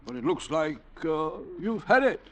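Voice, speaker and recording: An actor playing a flippant, condescending upper-class silly ass in a 1940s radio drama, affected and breezy. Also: male voice, fairly high pitch, very animated, normal speed. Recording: 1940s radio broadcast recording.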